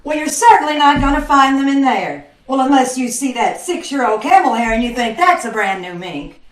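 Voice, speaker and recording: A woman playing a plucky, flustered girlfriend of a suspect in an old-time radio drama, exclamatory and quick to quip, in a roomy space. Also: sits high, expressive. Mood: wry, flustered. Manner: exclamatory, quipping. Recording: roomy, echoing video call sound.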